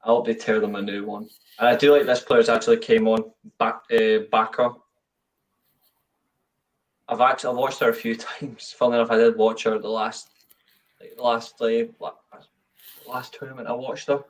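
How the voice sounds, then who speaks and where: Man, banter, swearing, casual football chat, mid pitch, a bit far from the mic. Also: level tone, moderate pace, pauses often. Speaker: watchalong co-host.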